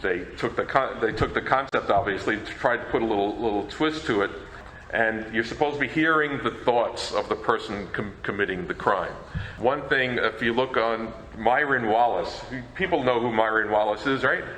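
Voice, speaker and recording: A man's voice: lowish voice, normal speed, a bit bright. A man giving an informal convention talk in a casual, chatty way. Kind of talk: informal presentation. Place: echoey presentation room. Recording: echoey room, clear background noise.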